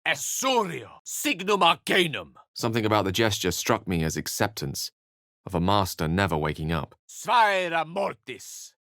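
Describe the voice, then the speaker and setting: A man's voice: fairly low pitch, huge pitch swings, moderate pace, fairly bright tone, no echo, studio quality, exaggerated voice. An actor playing a learned, wry male human wizard in a fantasy video game, speaking in an articulate, theatrical and bookish way. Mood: commanding incantation, then grave, reflective. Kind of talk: fantasy game character lines and narration.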